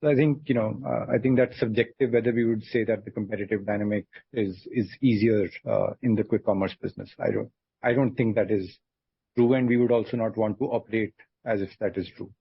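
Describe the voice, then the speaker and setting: An Indian man, a company executive, answering analyst questions in a measured, firm, explanatory way with a husky voice. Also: lowish voice, steady tone. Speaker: company executive on an earnings call. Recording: dull, lo-fi conference call audio.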